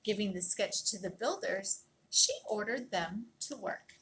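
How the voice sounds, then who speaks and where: A woman reading a children's picture book aloud in an expressive, dramatic storytelling manner, leaning on key words and drawing them out. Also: mid-range voice, unhurried, a little hiss or hum, poor audio. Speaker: storybook reader.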